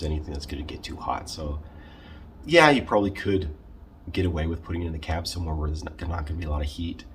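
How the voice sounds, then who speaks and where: A man with a very low voice talks through a casual how-to vlog. He is conversational and halting, often doubling back to correct himself. Clear background noise sits behind his voice. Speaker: video creator showing a car radio install.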